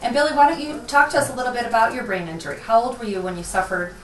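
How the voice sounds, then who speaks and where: A woman's voice: a little high, very fast, a bit bright, a little hiss or hum. A woman hosting a talk show, interviewing her guests in a friendly and encouraging way. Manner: friendly and encouraging, laughs often. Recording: echoey room, picked up from a distance.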